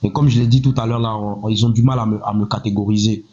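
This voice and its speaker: A man in his thirties from Côte d'Ivoire talks at a steady, measured pace, answering his own rhetorical questions. His voice sits fairly low. The recording sounds slightly muffled and lo-fi. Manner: measured, self-assured, with repeated "voilà" and rhetorical "pourquoi". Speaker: rapper, interview guest. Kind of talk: casual interview talk.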